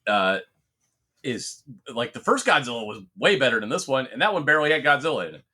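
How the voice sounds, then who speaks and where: A man's voice: medium pitch, very animated, brisk, bright, forceful tone, husky. A middle-aged man chatting casually about pop culture as a podcast co-host, rambling and telling stories with some swearing.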